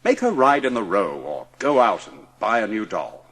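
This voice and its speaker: A man's voice: lowish voice, sing-song, moderate pace, fairly bright tone. A man playing a fond, indulgent, wealthy father and captain in an audiobook drama.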